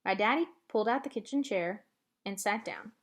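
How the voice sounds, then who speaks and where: Female read-aloud narrator for a children's novel, animated and widely varied in pitch. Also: moderate pace. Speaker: storybook reader.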